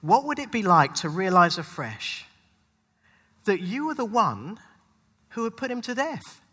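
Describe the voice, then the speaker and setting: A male pastor delivering a rhyming spoken-word sermon, dramatic and rhythmic, with emphatic phrasing and deliberate pauses. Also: high-pitched, very animated, fairly bright tone, slightly rough. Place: large hall at a live church event. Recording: long hall reverb, live event.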